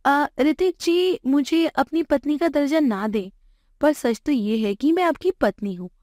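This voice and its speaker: A woman's voice: high-pitched, a little slow, darkish tone, dry recording, clean studio sound, voice pushed up. A woman voicing a hurt but dignified young wife in a story, earnest and plaintive. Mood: hurt, defiant.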